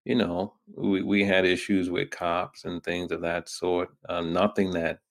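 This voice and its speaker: A man in his fifties from New York, hosting an interview in a measured, thoughtful way. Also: low-pitched, completely flat delivery, gravelly. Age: 50s. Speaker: host. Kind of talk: radio interview.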